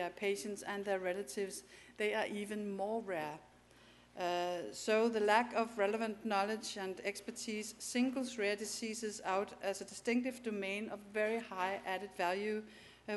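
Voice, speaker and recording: A woman's voice: mid-range voice, a little hiss or hum. Hesitant woman with a Danish accent. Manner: hesitant, many filler sounds and restarts. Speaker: conference speaker. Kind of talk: conference presentation. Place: conference hall.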